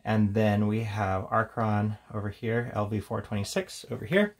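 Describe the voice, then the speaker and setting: A man's voice: lowish voice, normal speed. A man hosting a casual, unscripted tabletop game walkthrough, thinking aloud hesitantly as he goes. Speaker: host of a tabletop gaming channel.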